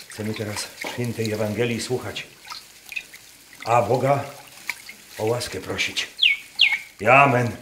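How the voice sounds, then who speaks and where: Radio drama: male actor as brooding peasant preacher, slow delivery, echoing barn with noticeable background noise. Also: mid-range voice, natural voice. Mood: fervent preaching. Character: troubled Masurian peasant preacher, pious, brooding, indignant. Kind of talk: dialect radio drama acting. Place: wooden barn performance space. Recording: roomy barn, noisy with water clicks.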